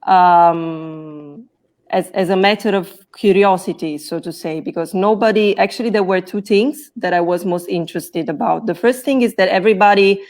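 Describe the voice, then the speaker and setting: A woman's voice: fairly low pitch, a little mellow. Italian-accented woman, careful explanation. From Naples, Italy. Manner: careful, explanatory, restarts to rephrase. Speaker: scholar, guest. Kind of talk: explaining in an interview. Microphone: video call.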